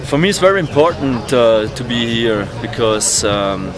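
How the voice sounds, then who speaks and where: Brief: Austrian man, German-speaking accent, mid-pitched, halting English, outdoor street interview with music behind. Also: lively intonation. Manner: halting, many fillers, searching for English words. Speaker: protester.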